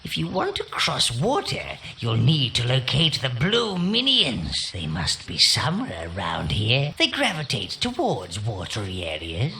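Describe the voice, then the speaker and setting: Gravelly old goblin advisor, wildly swooping pitch. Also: male voice, wildly swooping pitch, unhurried, hardly any pauses, slight background noise, exaggerated voice. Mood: instructive, servile. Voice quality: croaky, gravelly. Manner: servile, theatrical, gleefully morbid. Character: old sly goblin-like minion advisor serving a dark lord. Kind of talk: fantasy video game character acting. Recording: loud game music behind the voice.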